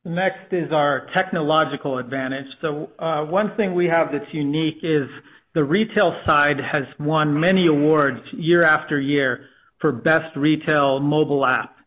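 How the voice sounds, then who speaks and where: A man's voice: fairly high pitch, unhurried, dull audio. A man presenting business plans as a technology executive on an earnings call, with frequent uhs between phrases.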